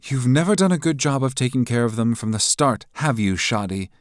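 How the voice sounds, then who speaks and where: A man's voice: medium pitch, lively intonation, a little fast, no room sound, studio quality, pitched above the performer's own voice, close to an everyday voice. A man voicing a cold, mocking woman official who speaks coolly and sharply. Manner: cool, sharp, condescending.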